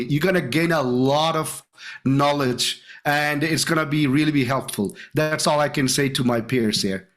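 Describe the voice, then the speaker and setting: A man's voice: fairly high pitch, fairly bright tone. A middle-aged man from Canada introducing himself informally and enthusiastically to classmates on a group video call. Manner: enthusiastic, chatty. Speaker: course student.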